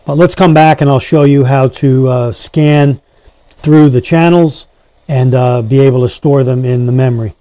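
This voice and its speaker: Man doing a laid-back product review, mellow voice, close dry sound. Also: mid-range voice, steady tone, normal speed, dry recording. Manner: addresses viewers as guys. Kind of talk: casual hands-on product demonstration.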